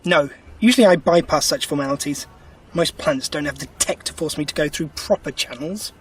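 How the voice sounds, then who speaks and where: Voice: mid-range voice, brisk, distant mic, a little hiss or hum, natural voice, rough recording. An actor playing a quirky, wry time-travelling alien adventurer in an audio drama, chatty and given to grumbling. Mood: wry, suspicious.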